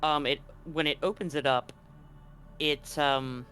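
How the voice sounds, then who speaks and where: A man with a slightly high voice runs a tabletop roleplaying session. He describes scenes casually and haltingly as game master, with music playing softly behind him. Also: fairly even intonation.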